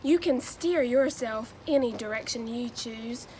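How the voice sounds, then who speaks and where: A young woman with a high voice does a children's read-aloud. Her pace is very unhurried and her delivery has a sing-song storybook lilt. There is faint noise behind her. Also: darkish tone, low-quality recording. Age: 20s. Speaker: new education graduate reading a children's book.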